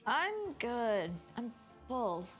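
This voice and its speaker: A woman's voice: a little high, very animated, very slow. A woman streaming a video game, reading its text aloud and commenting casually. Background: game music.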